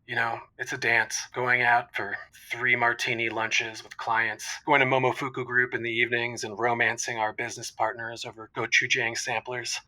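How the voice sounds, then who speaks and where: A man plays a smug venture capitalist with a heart, in a very bright, forceful voice. He rhapsodizes with great self-importance, like a radio comedy caller. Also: mid-range voice, level tone, normal speed, few pauses, rough recording. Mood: rapturous, smug. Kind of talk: comedic call-in radio drama.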